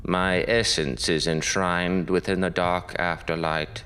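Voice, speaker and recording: A man's voice: sits low, level tone, takes it slowly, fairly bright tone. A man playing a soulless, pompous undead announcer, speaking in ornate, melodramatic gothic horror radio style. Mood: pompous, needling. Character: soulless undead announcer, pompous, literal-minded, darkly grandiose. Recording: echoey long reverb, music underneath.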